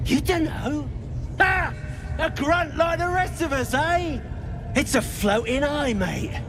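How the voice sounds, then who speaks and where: An actor playing a crude, surly goblin grunt in a video game, with a British accent and coarse, jeering slang. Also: male voice, extremely high pitch, takes it slowly, music in the background, heavily acted. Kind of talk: video game character acting.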